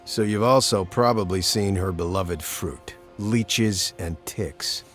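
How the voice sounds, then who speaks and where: A man's voice: sits low, slow, fairly bright tone. A man playing a world-weary, blunt monster-hunting mercenary in a fantasy game, laconic and matter-of-fact. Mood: grim storytelling. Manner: laconic, matter-of-fact, clipped colloquial phrasing. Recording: background music under the dialogue.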